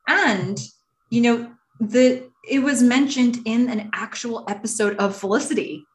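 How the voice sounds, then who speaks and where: A woman co-hosting a podcast in casual chat, talking enthusiastically in quick bursts. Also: on the high side. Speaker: podcast co-host.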